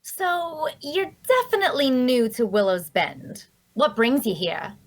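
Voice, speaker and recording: A woman's voice: high-pitched, very animated, measured pace, heavily acted. A woman playing a small, chatty, inquisitive young adventurer in a fantasy audio drama. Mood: inquisitive, probing.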